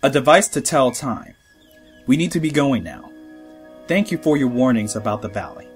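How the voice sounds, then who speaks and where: An actor playing a composed, tactful away-team officer, speaking in a measured, diplomatic way in a sci-fi audio drama. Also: male voice, mid-range voice, expressive, faint music behind, close to an everyday voice. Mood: tactful, brisk.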